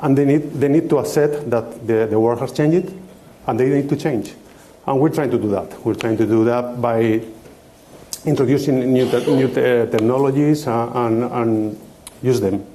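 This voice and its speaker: A man's voice: medium pitch, normal speed, mellow, a little hiss or hum. A man speaking on a conference panel as an IT director, joking and adding "no?" to his points. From Spain. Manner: joking, repeats words, tag "no?".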